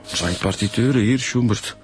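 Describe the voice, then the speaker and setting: Actor as an informal, low-pitched police detective. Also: male voice, normal speed, noisy background, lightly acted. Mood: observing. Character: casual, easily impressed male police detective. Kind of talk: radio drama acting. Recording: music clearly behind.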